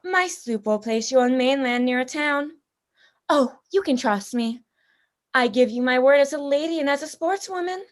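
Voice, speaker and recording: Radio drama villain played by a woman: genteel female general who hunts people, very high voice, grandly polite and toying. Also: expressive, normal speed. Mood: eager menace. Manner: pompous, theatrically polite, toying. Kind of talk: radio play acting.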